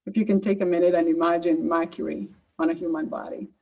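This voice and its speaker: A woman's voice: on the low side, steady tone, quick pace, vocal fry, darkish tone, low-quality recording. An earnest woman with an East African accent delivers a prepared personal talk. Her voice sits at an ordinary conversational level, and she speaks as an advocate sharing her own story. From Uganda. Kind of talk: prepared personal speech, partly read.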